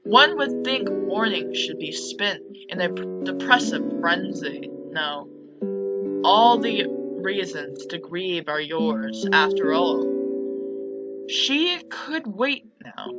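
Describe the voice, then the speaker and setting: A woman with a slightly low voice reads a story game aloud at a very slow pace. She delivers the text dramatically and drops into casual asides. The sound is a little dull, with guitar music clearly playing behind her. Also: big pitch swings. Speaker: video game streamer and narrator. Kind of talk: reading a visual novel aloud with side comments.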